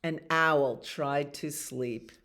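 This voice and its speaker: A woman reading picture books aloud as a children's library storyteller, expressively, with casual asides about the pictures. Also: deep voice. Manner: expressive reading with acted animal sounds and pauses to comment on pictures. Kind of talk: read-aloud bedtime stories with casual asides.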